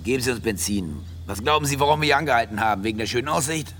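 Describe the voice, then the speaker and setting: An actor playing a domineering, suspicious wealthy husband in a radio drama, curt and sarcastic. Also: male voice, mid-range voice, expressive, rapid-fire, fairly bright tone, slight background noise, natural voice. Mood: curt, impatient.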